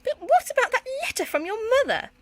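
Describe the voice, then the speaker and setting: A woman's voice: extremely high pitch, wildly swooping pitch, fast talker, dry recording, voice pushed up, heavily acted. A woman voicing a grand, easily flustered older headmistress in a children's storybook, spluttering and exclaiming. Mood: indignant.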